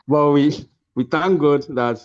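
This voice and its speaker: A man's voice: fairly high pitch, slow. A man with a Nigerian accent hosting an informal awards ceremony over a video call, speaking in an encouraging, congratulatory way. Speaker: host presenting course awards. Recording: dull lo-fi online call audio.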